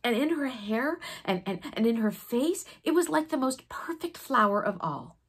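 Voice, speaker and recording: A woman reading a children's story expressively, with dramatic pauses and a breathy, sometimes hushed delivery. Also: fairly high pitch, expressive. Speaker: storybook reader.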